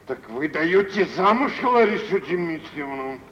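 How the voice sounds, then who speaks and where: An actor playing a self-important, wealthy older gentleman, pompous and deliberate, in an old theatrical radio drama. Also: fairly high pitch, lively intonation. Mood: disapproving, pointed. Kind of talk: 1940s theatrical radio acting. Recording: dull, noisy vintage radio play recording.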